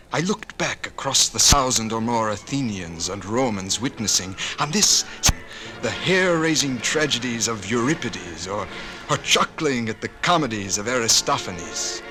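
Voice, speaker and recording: An actor playing an awed, reflective symphony violinist in a theatrical 1950s radio monologue. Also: male voice, lowish voice, natural voice. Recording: noisy old radio recording.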